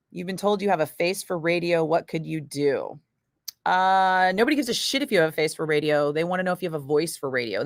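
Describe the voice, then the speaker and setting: A middle-aged woman radio DJ chatting casually with viewers between breaks, profane and quick to laugh. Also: on the low side, a bit bright, dry recording, studio quality. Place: radio studio.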